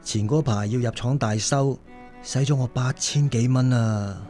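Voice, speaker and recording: A devoted working-class father voiced by a man in a radio play, mid-pitched, with a Hong Kong Cantonese accent. He is hesitant and apologetic, and music plays faintly behind him. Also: measured pace, warm tone, close to an everyday voice. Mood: weary, resigned. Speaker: radio drama actor. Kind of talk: radio drama acting.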